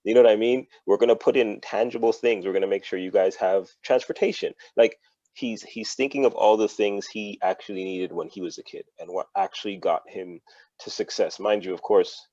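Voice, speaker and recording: A man speaks as a podcast guest, earnest and thoughtful, stumbling over repeated words as he works out his ideas. His voice is very deep. The sound is dry and a little dull. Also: fairly even intonation, a little fast, mellow, dry recording. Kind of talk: casual podcast chat.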